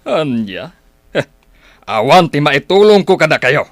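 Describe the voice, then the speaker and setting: An actor playing a stingy middle-aged uncle in a radio drama, gruff and dismissive, with an Ilocano accent. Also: male voice, on the high side, very animated, measured pace, no room sound, clean studio sound.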